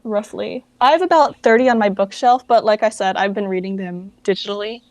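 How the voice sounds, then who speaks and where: A woman's voice: medium pitch, brisk, darkish tone, no echo. Young woman chatting casually, dry close sound. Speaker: interview guest, project founder and voice actress. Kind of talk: casual interview chat.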